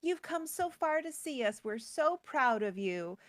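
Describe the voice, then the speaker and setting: Fairytale royal parent in a dream played by a woman: proud, tender, highish pitch, very dry sound. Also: sing-song, unhurried, no room sound.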